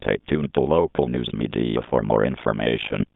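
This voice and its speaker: A man's voice: a bass voice, moderate pace, vocal fry, dry recording. A synthetic-sounding man reading a scripted emergency alert as an announcer, with a robotic delivery. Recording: tinny phone-like narrowband sound.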